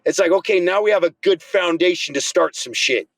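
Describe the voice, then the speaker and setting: A man's voice: sits high, a little fast, dry recording. Fervent man in his early forties, dry recording. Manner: fervent, blunt, swaggering. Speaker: men's coach, podcast guest. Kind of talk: casual motivational podcast talk.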